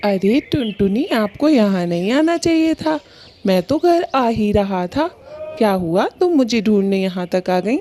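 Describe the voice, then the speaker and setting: A woman's voice: medium pitch, expressive, unhurried, dark tone, a little hiss or hum, lightly acted. A woman voicing a protective, earnest husband bird in a children's cartoon. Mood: concerned. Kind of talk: animated cartoon dialogue.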